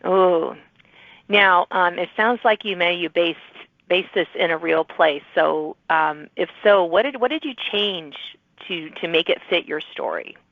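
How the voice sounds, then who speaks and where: Phone-like, lower-voiced enthusiastic woman host. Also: a little slow, vocal fry, dry recording. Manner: enthusiastic, encouraging. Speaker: podcast host interviewing authors. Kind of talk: casual, chatty interview. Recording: thin phone-like sound.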